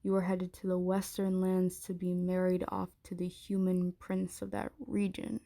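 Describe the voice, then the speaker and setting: A woman playing a haughty, menacing dragon queen in an intimate ASMR roleplay, speaking in an imperious, taunting, deliberate way. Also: fairly low pitch, monotone, creaky, dark tone. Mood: mocking.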